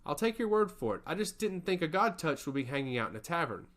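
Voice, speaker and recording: Man playing a flirtatious prince with lively pitch. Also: fast talker. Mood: courteous, eager.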